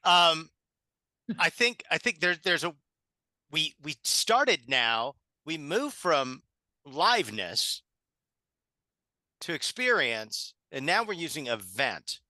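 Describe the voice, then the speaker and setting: Bright, forceful man with swooping pitch. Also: big pitch swings, no room sound. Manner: emphatic, halting, checks in with 'right?'. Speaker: theatre panelist. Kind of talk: casual panel discussion.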